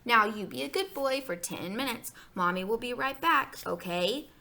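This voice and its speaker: Woman as bright-voiced, coaxing young mother. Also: fairly high pitch, animated, normal speed, gravelly, exaggerated voice. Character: fond, hesitant mother of a toddler.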